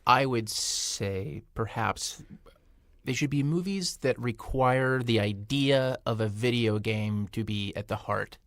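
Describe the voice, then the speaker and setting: A man's voice: medium pitch, takes it slowly, a bit bright, dry recording. A man chatting casually about films as a panelist, with wry, joking remarks. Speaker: podcast panelist. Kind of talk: casual film chat.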